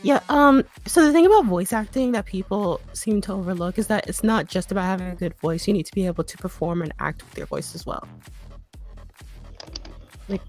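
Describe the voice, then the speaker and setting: A woman's voice: medium pitch, expressive, fairly quick, darkish tone, soft background music. A woman chatting casually as a co-host on a live stream, explaining things to viewers.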